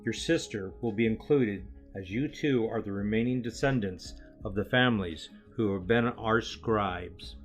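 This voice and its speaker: A man playing a grave, kindly elder and aging teacher in an audio drama, speaking in a formal, measured way. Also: on the low side, faint music behind, close to an everyday voice. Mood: grave, reassuring. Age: older.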